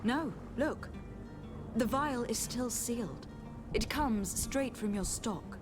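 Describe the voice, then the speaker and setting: A woman playing a shrewd, skeptical adventurer in a video game, with measured, formal, challenging questioning. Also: fairly high pitch, animated, music in the background.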